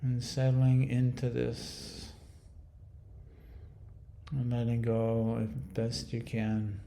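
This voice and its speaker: A man leading a guided meditation in a calm, hushed, soothing manner with long pauses. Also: medium pitch, creaky. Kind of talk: guided body scan meditation.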